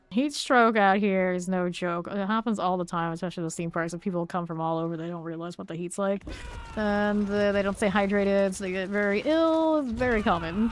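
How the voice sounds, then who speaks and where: Chatty woman streaming a game. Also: mid-range voice. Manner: chatty, rambling, laughs easily between callouts. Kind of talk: casual chat while playing a game live.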